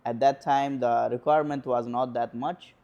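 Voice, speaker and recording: A man in his twenties with an Indian accent, a guest answering questions in a casual interview, hesitant with many pauses and fillers. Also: medium pitch, fairly even intonation, a little mellow, highs cut off. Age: 20s.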